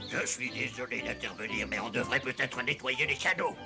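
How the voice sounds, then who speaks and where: A man voicing a fussy, excitable animal who whines and pleads in a French cartoon. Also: mid-range voice, moderate pace, close to an everyday voice. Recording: music behind the voice.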